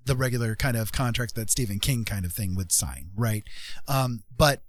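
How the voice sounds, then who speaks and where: A man chatting casually on a livestream, explaining things conversationally and often checking in with the listener. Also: medium pitch. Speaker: streamer and self-published author.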